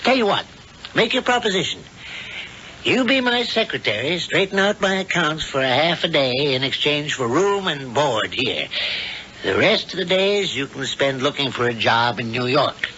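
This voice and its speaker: An actor playing a folksy, fatherly husband with a sinister streak, smoothly persuasive, in a 1970s radio drama. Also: fairly high pitch, lively intonation, normal speed, sharp tone. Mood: folksy, coaxing. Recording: dull, noisy old radio drama recording.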